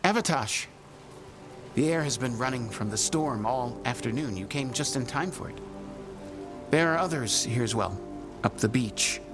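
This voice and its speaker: A gentle, guileless video game companion voiced by a man, with a very animated melody to his plain, formal sentences. He sounds simple-hearted and earnest, and music plays clearly behind him. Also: medium pitch, moderate pace, long pauses, close to an everyday voice. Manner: plain short sentences, slightly formal, no contractions.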